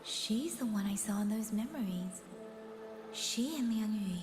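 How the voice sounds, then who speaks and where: An actress playing an earnest, compassionate young heroine in a video game, with gentle, deliberate phrasing over background music. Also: female voice, medium pitch, lively intonation, natural voice. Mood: pensive. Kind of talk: dramatic video game voice acting.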